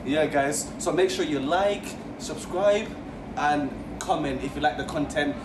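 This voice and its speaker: Outgoing man in a noisy train. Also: unhurried. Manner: outgoing, chatty, slangy. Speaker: passenger chatting to camera. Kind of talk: casual chat. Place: inside a moving train carriage. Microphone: phone camera held by another person. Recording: echoey, distant phone audio, loud train noise. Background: loud train rumble and announcements.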